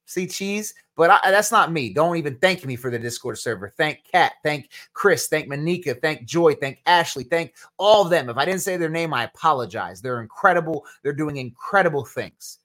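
A man's voice: a little high, animated, moderate pace, few pauses, a bit bright. Casual New Jersey man answering chat questions. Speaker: podcast host.

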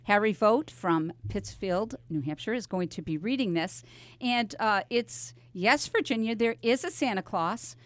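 A woman's voice: mid-range voice, animated, measured pace, no room sound. An older American woman hosting a casual radio talk show, chatty and conversational, speaking directly to her listeners. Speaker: radio host. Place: radio studio.

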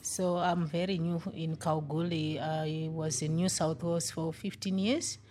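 A woman's voice: deep voice, flat delivery, unhurried, dark tone, a little hiss or hum. A middle-aged woman with a Zimbabwean accent, a mother speaking earnestly in an interview and repeating her key points. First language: Shona. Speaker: mother in a migrant community group. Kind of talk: interview answer.

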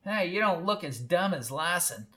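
A man voicing a sneering, sharp-tongued little old woman with a scratchy, screechy voice. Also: high voice, lively intonation, moderate pace, voice pushed up. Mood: sneering, scornful.

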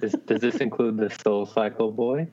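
A man's voice: lowish voice, fairly even intonation, moderate pace, muffled recording, crowd noise. A man co-hosting a podcast, chatting casually with friends in a dry, teasing way. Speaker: podcast co-host. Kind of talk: casual chat among friends.

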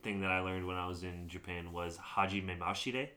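A man's voice: low-pitched, level tone, brisk, pushed and bright. A man chatting casually on a podcast, explaining things in a conversational way.

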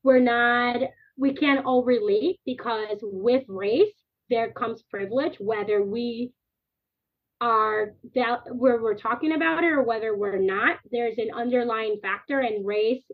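A woman in her thirties who grew up in the Dominican Republic talks as an interview guest, her voice sitting slightly high. She speaks earnestly and with animation, stringing long clauses together. The sound is narrow and tinny, like a phone call. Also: unhurried. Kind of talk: podcast interview.

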